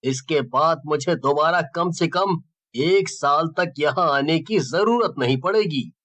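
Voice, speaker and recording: A greedy young village schemer in a cartoon story, acted by a man. He is cocky and boastful, with a high, bright voice and an unhurried pace. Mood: smug.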